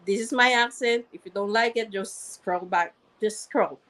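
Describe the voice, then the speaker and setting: A woman's voice: mid-range voice, moderate pace, fairly bright tone. A woman guest with a strong non-native English accent, telling her story earnestly and often repeating and restarting phrases. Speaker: interview guest, registered nurse and online marketer. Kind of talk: candid personal story in conversation.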